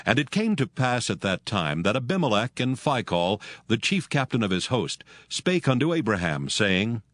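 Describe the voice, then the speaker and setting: A man narrating a dramatized scripture reading in an even, measured way. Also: fairly low pitch, no echo. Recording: music bed behind.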